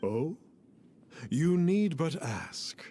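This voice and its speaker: A lofty masked immortal. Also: male voice, fairly high pitch, very animated, extremely slow, mellow, slight background noise, heavily acted. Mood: solemn. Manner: archaic, formal, calls himself "one". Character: masked aloof adeptus in disguise, young man. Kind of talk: video game cutscene dialogue.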